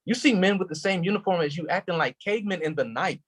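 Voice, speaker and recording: A man's voice: high-pitched, quick pace. An actor playing a shaken, grieving male police officer in a staged play reading, raw and intense. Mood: anguished.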